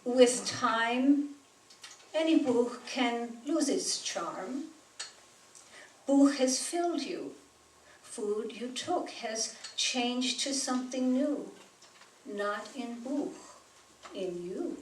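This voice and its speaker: Slow, unhurried woman poet reading aloud. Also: a little high, lively intonation. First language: German. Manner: slow, measured delivery with pauses. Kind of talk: poetry reading at a live event. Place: bookstore reading room with an audience. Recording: roomy, distant sound with faint noise.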